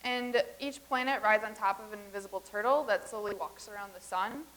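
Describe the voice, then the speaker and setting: A woman's voice: mid-range voice, brisk, a bit bright, close to an everyday voice. A woman reading the part of a visitor from the far future in a staged comedy reading, explaining everything in an earnest, matter-of-fact way.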